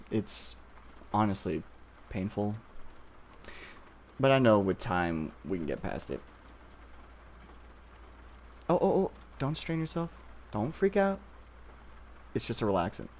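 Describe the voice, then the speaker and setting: Guy acting as yandere boyfriend, lowish voice, soft and hesitant, possessive, asmr roleplay, noisy background. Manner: soft, halting, hesitant with nervous pauses. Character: obsessive yandere boyfriend, childhood friend, tender turning possessive.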